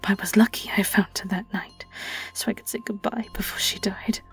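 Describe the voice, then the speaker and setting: A woman playing a sly, vengeful vampire in an audio roleplay, with a British accent, a breathy, often whispered voice and a taunting, theatrical delivery. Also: mid-range voice, steady tone, moderate pace, faint music behind. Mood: hushed, bitter. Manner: taunting, theatrical, lingering on words. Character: vengeful young female vampire, sly, gloating and predatory. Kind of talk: dramatic audio roleplay monologue.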